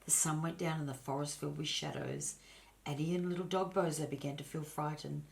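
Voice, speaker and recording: A woman's voice: low-pitched, steady tone, brisk, gravelly, a little hiss or hum. An older woman narrating a children's storybook expressively, in a grandmotherly way and addressing her listeners. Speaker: storybook reader.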